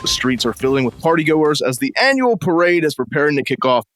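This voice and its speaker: A man's voice: on the high side, big pitch swings, quick pace, a bit bright. An actor playing an upbeat male local news anchor in a radio drama newscast. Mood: upbeat, announcing. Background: music.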